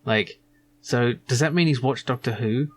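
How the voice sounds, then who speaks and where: A man's voice: medium pitch, moderate pace, a little hiss or hum. A man hosting a let's play, giving casual, musing commentary as he goes through an adventure game. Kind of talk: casual gameplay commentary, reading game dialogue aloud.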